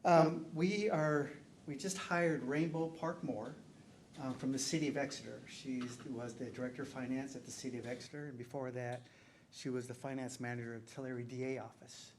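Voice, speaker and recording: Man, board meeting presenter, relaxed chatty delivery, filler ums, middle pitch, faint background hiss. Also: moderate pace, poor audio. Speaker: finance administrator presenting to a board.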